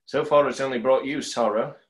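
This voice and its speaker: A young man playing a bitter, enigmatic stage conjurer in a play reading, dry and deliberate. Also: mid-range voice, fairly even intonation, close to an everyday voice. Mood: dry, sardonic. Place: plain room at home, video call.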